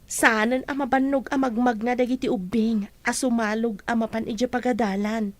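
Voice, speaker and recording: A woman acts out a cheerful village teacher and wife in an Ilocano radio drama. Her voice is high, and she comes across as devoted to her work and family. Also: steady tone, moderate pace, no room sound, close to an everyday voice. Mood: happy. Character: gladdened, devoted young village schoolteacher and wife.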